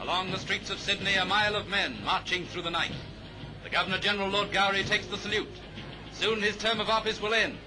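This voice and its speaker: A British man narrating a 1930s newsreel in a brisk, declamatory, jaunty announcer's manner. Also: high voice, fairly even intonation, background noise. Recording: vintage 1930s newsreel soundtrack, music underneath. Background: music.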